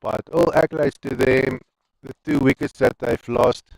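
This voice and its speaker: Afrikaans guy calling a local cricket game, super creaky voice, chatty, goes off on tangents. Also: medium pitch, fairly even intonation, moderate pace, darkish tone, no room sound, rough recording. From South Africa. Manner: chatty, rambling asides between balls. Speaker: cricket commentator. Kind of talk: live amateur cricket commentary.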